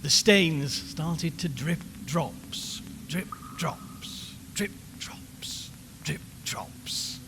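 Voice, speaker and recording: A man's voice: fairly high pitch, very slow, noisy background. A man playing a radio reporter losing his mind in a haunted house, ranting and muttering brokenly in an audio horror drama. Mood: eerie muttering. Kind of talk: audio horror drama acting, live broadcast monologue.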